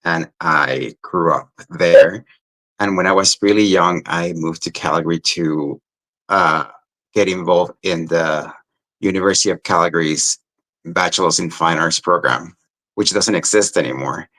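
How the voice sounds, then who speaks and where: A theatre director from Mexico answers questions in a very low, deep voice. He speaks reflectively and pauses on fillers while he gathers his thoughts. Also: fairly bright tone, poor audio. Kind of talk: interview answers.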